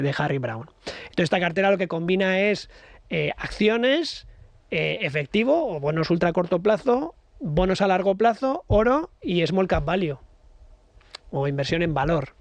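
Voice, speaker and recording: A man's voice: fairly high pitch, dry recording. A man, a guest expert on a radio show, explaining investment ideas with many hesitant fillers. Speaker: guest financial educator. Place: radio studio.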